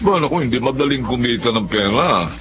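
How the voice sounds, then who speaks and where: A man's voice: mid-range voice, animated, a little fast, lo-fi sound, close to an everyday voice. A man with a Filipino accent playing a sly, chauvinistic buddy who eggs on his friend in a radio drama. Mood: egging on.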